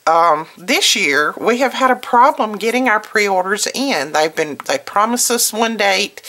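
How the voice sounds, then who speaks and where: A woman's voice: fairly low pitch, a bit bright. A woman talking informally to her customers as a store owner and video host, in a conversational, plain-spoken way with folksy phrasing.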